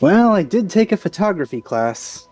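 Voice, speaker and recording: A man's voice: high-pitched, very animated, normal speed, a little mellow, faint music behind. A man giving casual let's play commentary in a laid-back, hesitant manner. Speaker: let's player.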